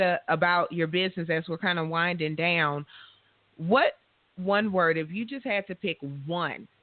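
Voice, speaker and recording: A woman with a loud voice, hosting a radio talk show in a frank, self-assured way. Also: fairly low pitch, dry recording. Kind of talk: casual interview chat. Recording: dry, tinny phone-line sound.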